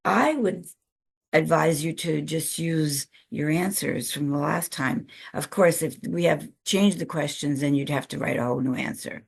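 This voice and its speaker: A woman with a low-pitched voice and a lot of gravelly fry. She gives informal, frank explanations as a staff adviser. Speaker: program staff member advising applicants. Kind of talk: informal explanatory question-and-answer talk.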